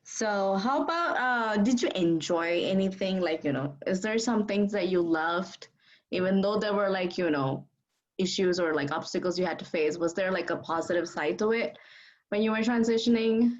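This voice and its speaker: A young woman asks interview questions in a friendly, encouraging tone, filling her speech with casual fillers. Her voice sits at a middle pitch. The recording sounds dull and lo-fi. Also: normal speed. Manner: friendly, encouraging, many fillers like "like" and "you know".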